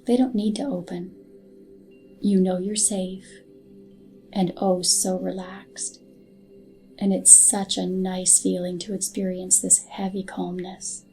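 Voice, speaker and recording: Soothing, mellow woman guiding meditation, even-toned. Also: medium pitch. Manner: soothing, soft, unhurried. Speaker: guided meditation narrator. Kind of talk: scripted hypnotic guided meditation. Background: background music.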